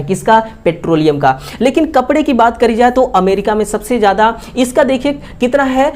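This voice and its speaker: A woman with an Indian accent teaching exam questions, repeating key facts as quick rhetorical questions with frequent checking tags. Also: mid-range voice, darkish tone. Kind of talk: exam question analysis lesson.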